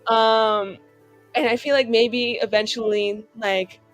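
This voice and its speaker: A woman casually improvising her character's story as a tabletop game player, in animated, slangy speech peppered with "like". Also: on the high side, slow pace, faint music behind. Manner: animated slangy chat full of "like".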